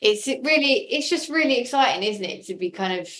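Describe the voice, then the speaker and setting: A woman's voice: a little high, expressive, a little fast, fairly bright tone. A woman chatting enthusiastically and casually as a guest in an interview. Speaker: podcast guest, audio drama creator.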